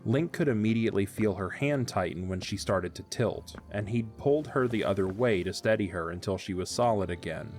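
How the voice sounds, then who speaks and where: Low-voiced man narrating a story. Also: fairly quick, hardly any pauses, a little mellow, faint music behind. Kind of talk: scripted audio drama narration.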